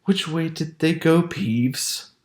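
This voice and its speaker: A woman voicing a grumpy older male school caretaker who talks gruffly and demands answers. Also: deep voice, slow pace, a little mellow, voice pushed down. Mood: gruff impatient. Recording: roomy echo.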